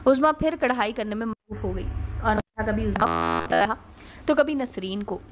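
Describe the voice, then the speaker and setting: High-voiced woman narrating a story. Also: expressive, moderate pace, background noise. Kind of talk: scripted first-person story narration.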